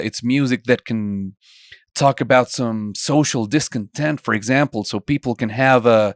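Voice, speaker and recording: A man's voice: mid-range voice, dry recording, studio quality. A young man from Colombia teaching an online songwriting lesson, chatty and full of questions for the listener. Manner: conversational, asks students many questions. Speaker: songwriting instructor.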